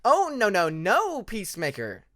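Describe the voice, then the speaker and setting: Narrator putting on a theatrical, high-pitched teasing girl dragon. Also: huge pitch swings, measured pace, a bit bright. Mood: playful correcting. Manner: melodramatic, exaggerated emphasis. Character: playful, theatrical, teasing young female dragon.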